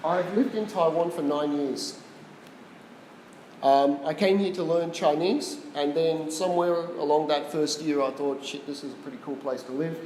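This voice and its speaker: A man's voice: fairly high pitch, fairly even intonation, normal speed, a little mellow, a little hiss or hum. A man with an Australian accent giving a casual tech talk to a small live audience, chatty and quizzing the room. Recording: roomy echo with long reverb.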